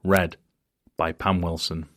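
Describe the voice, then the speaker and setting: A man's voice: deep voice, level tone, slow pace, a bit bright, no echo, clean studio sound. A man reading out a scripted introduction as the announcer for a story reading. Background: plucked guitar music.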